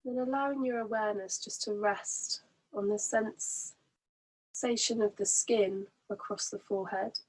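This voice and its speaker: Slow, breathy woman guiding meditation. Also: mid-range voice, steady tone, poor audio. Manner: soothing, unhurried, gently instructing. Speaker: prenatal relaxation and meditation teacher. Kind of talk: guided meditation.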